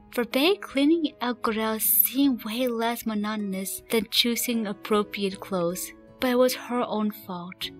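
A woman's voice: a little high, fairly bright tone. A woman reading scripted story narration as the narrator of a fan radio play. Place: recorded voice-over with music behind.

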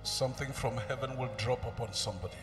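A middle-aged man, a bishop, opening his sermon with slow, solemn proclamations into a handheld microphone. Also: mid-range voice. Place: large church hall, indoors. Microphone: handheld mic. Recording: echoey hall with loud music.

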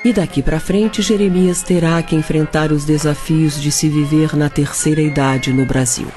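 A man's voice: a little high, fairly even intonation, normal speed. A Brazilian man narrating a scripted radio drama in a dramatic storytelling manner. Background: music.

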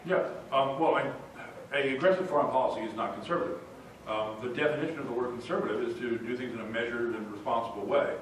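A man argues his point quickly and firmly on a conference panel. The sound is roomy and distant, with faint hiss. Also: mid-range voice. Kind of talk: panel discussion. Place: large conference room. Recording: echoey room, far from mic.

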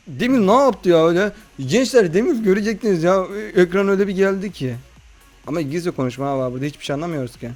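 A man hosting a horror game playthrough in slangy, excitable, casual chat, often addressing his viewers. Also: high voice, fast talker, soft background music. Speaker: gaming video host.

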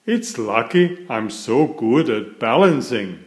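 A man's voice: mid-range voice, very animated, slow pace. A man voicing a big, easygoing, boastful daddy pig with a hearty storybook manner. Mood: hearty, confident.

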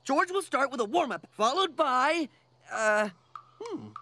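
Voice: sits high, lively intonation, a little slow, bright, forceful tone, a little hiss or hum, heavily acted. An actor voicing a dim-witted, friendly, eager muscular jungle man in a cartoon, goofy and boastful. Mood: earnest, goofy. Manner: goofy, boastful, third-person simpleton delivery. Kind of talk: animated cartoon acting.

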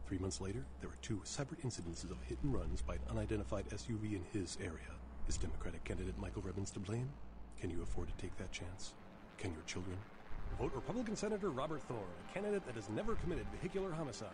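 A man's voice: fairly low pitch, expressive, quick pace, lightly acted. A man playing a slick, over-earnest radio commercial announcer in a parody advert in a game. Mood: ominous accusing. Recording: game radio with noisy background.

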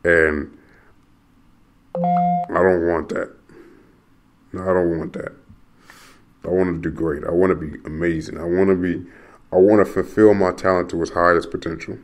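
A young American man with a very low voice hosts his own podcast alone. He rambles restlessly, circles back over the same phrases and swears now and then as he rants. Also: steady tone, moderate pace, pauses often, slight background noise. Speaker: podcast host. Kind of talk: rambling solo monologue.

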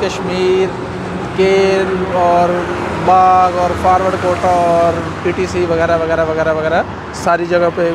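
Chatty Pakistani man vlogging roadside. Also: high voice. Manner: chatty, fills talk with "jo hai na", addresses viewers as brothers. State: tired after an all-night ride. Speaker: travel vlogger. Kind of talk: casual travel vlog to camera. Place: outdoors by a highway and in a roadside restaurant. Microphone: clip-on mic, selfie camera at arm's length. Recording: outdoor traffic noise behind.

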